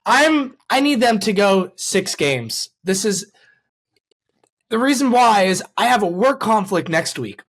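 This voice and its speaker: A man's voice: high voice, big pitch swings, unhurried, fairly bright tone. A man hosting a sports show in a casual fan's style, repeating phrases for emphasis. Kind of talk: casual fan chat.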